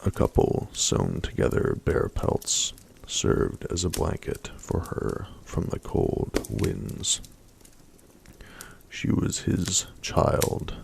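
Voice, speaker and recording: A man reading a fantasy story aloud in a hushed, mostly whispered ASMR style. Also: a bass voice, very animated, slow pace, vocal fry. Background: faint campfire crackle.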